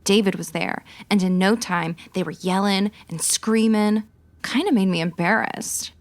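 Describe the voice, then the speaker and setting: A woman playing a gossipy 1950s neighbor giving testimony in a radio drama, measured and confiding. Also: medium pitch, no echo, natural voice. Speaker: actor in a comedy audio drama.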